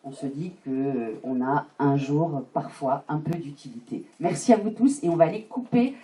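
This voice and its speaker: Woman, distant mic, deep mellow voice, formal public address, hesitant, searching for words. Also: lively intonation, moderate pace, slight background noise. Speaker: local elected official giving an inauguration speech. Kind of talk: semi-improvised public speech. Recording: distant microphone.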